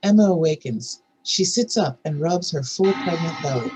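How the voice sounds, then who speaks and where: A narrator reading screenplay stage directions aloud in a measured, scripted table-read style. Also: mid-range voice, animated, noisy background.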